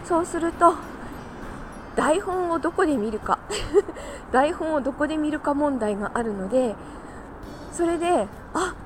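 A woman chatting casually on her own like a morning podcast host, talkative and quick to laugh. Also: sits high, lively intonation, background noise. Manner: chatty, laughs easily while talking. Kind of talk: casual solo morning chat.

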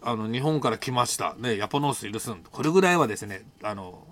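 A man casually telling a personal travel story, colloquial and animated, acting out bits of dialogue. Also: mid-range voice, fast. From Japan. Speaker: solo audio storyteller, host.

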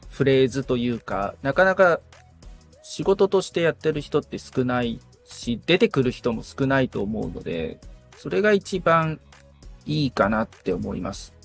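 A man's voice: mid-range voice, big pitch swings, normal speed, gravelly, soft background music. A man around forty talking as a podcast guest in a thoughtful, explanatory way, with tentative phrasing in a casual interview. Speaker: podcast guest, guitar repair technician.

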